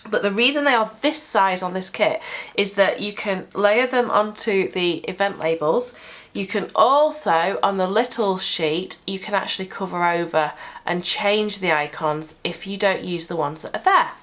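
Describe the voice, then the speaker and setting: A woman's voice: mid-range voice, normal speed, fairly bright tone. A British woman with a cold, chattily walking through her planner sticker designs in a product vlog. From United Kingdom. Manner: chatty, explaining item by item. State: sniffly with a cold. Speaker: sticker shop owner.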